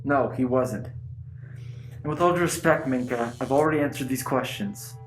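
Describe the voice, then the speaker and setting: A woman's voice: rumbling low, moderate pace. A woman playing a serious, guarded spaceship crew member in a radio play, firm and curt. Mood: defensive, firm. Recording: echoey room, faint music.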